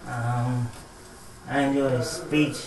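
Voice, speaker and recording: This young man talks slowly and haltingly in a soft voice, and a slight speech impediment is audible in his words. He sounds set back from the mic in an echoey room with faint background hiss. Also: medium pitch, flat delivery. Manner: slow, soft-spoken, halting speech with restarts. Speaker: guest, care home resident. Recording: echoey room, picked up from a distance.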